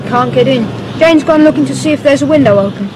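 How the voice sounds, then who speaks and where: A woman's voice: high voice, quick pace, natural voice. A woman voicing a cheeky, plain-spoken British schoolboy in 1950s radio comedy, on a noisy old recording.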